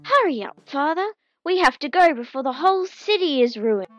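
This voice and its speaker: A woman voicing an eager young boy teddy bear, shrill and excited. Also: moderate pace, no echo, dull audio, soft background music. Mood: urgent.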